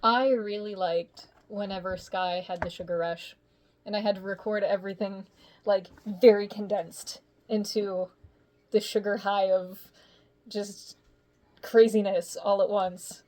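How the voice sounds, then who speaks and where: A woman's voice: mid-range voice, steady tone, unhurried, darkish tone. A woman voice actor chatting casually on a video call, thinking aloud about recording her lines. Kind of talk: casual group interview.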